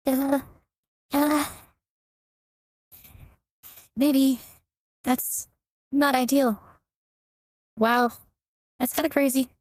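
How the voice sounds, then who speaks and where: A man voicing a hesitant young androgynous game character through a pitch-raising voice changer. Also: steady tone, takes it slowly, no echo. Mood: unsure, musing. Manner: hesitant, repeating short phrases.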